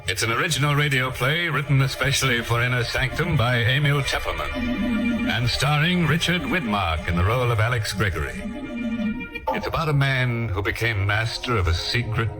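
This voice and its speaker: A man's voice: mid-range voice, expressive, moderate pace, over loud music, lightly acted. A man playing a jovially ghoulish 1940s radio horror host in a theatrical, mock-sinister manner, on a vintage recording. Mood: ominous.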